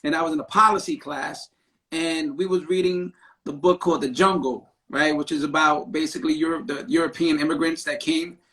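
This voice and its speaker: A man with a fairly high voice hosts a casual live-stream conversation. He talks in an animated, emphatic way and often closes his points with a quick "right?". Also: rough recording. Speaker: podcast host; therapist.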